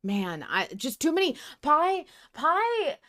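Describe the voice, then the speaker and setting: A woman's voice: high voice, big pitch swings, slow pace, a bit bright. A woman co-hosting a podcast in casual fan discussion, informal and sweary in how she talks. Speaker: podcast co-host.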